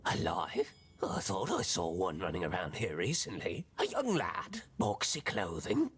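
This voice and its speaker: A man voicing a sly, ominous townsperson in a video game, theatrical and drawn-out. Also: sits low, wildly swooping pitch, heavily acted. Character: ominous, sly male townsperson warning a child. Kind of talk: video game character acting.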